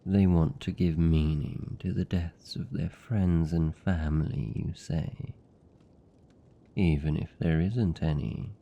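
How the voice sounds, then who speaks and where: Man voicing a very deep, slow British vampire. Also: fairly even intonation, muffled recording, full character voice. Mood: brooding, musing. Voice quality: gravelly, dark, low. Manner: slow, hushed, deliberate, teasing. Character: seductive possessive male vampire captor, tender yet menacing. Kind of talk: intimate ASMR fantasy roleplay.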